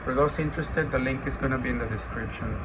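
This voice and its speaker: A man giving a casual, hands-on demo of gear he is selling, talking haltingly as he thinks aloud. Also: mid-range voice. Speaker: radio seller demonstrating gear. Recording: clear background noise, steady hum.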